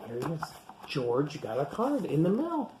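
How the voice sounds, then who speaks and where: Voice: mid-range voice, huge pitch swings, a little slow, noisy background. A storytime reader going through a picture book aloud for young children, with simple explanatory asides.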